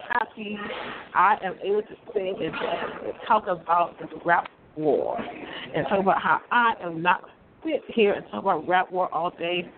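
A hoarse speaker with a cold, hosting an online radio show in a rambling monologue that repeats phrases and breaks into laughs. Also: medium pitch. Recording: phone-like line with loud crowd noise.

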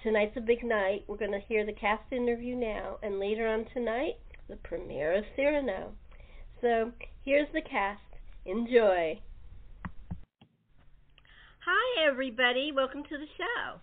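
A woman with a slightly high voice hosts a casual cast interview. The audio is thin and narrow, like a telephone line. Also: expressive, unhurried. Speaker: podcast host and producer.

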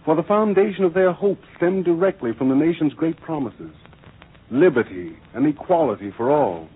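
An American man delivering formal, deliberate, oratorical narration in 1940s radio style, on a tinny, noisy old recording. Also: a little high, animated, breathy edge.

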